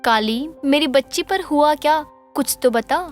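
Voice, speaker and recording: A woman voicing a caring, concerned village mother in an animated moral story. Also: sits high, unhurried, faint music behind. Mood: tender.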